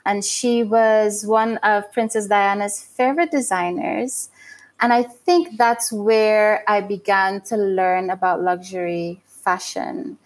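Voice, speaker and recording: A woman's voice: mid-range voice, fairly even intonation, slow pace. A middle-aged woman from Guyana giving thoughtful, explanatory interview answers in a conversational style.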